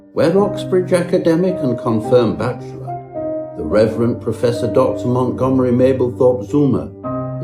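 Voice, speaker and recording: A man narrating a parody Victorian ghost story in a pompous, mock-genteel manner. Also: medium pitch.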